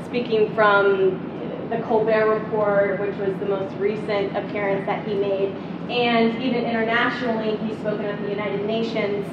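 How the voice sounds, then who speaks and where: A woman's voice: medium pitch, level tone, moderate pace, heavy background noise. A young woman, a teaching assistant, introducing a guest speaker in a university lecture hall with earnest, um-filled talk. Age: young adult. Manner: earnest, with frequent ums. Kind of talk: speech introduction. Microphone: far room mic. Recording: distant, muffled, echoey hall recording.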